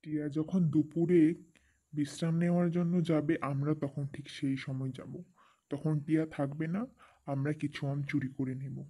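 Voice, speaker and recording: Man as a sly mellow cartoon bird. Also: on the high side, level tone, moderate pace. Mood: scheming. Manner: coaxing, breezy. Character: sly, carefree male bird plotting mischief. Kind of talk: children's cartoon story dialogue.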